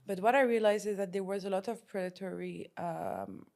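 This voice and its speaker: A woman's voice: mid-range voice, normal speed, creaky, darkish tone. Founder woman, thoughtful with many fillers. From France. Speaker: startup founder. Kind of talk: casual interview answers.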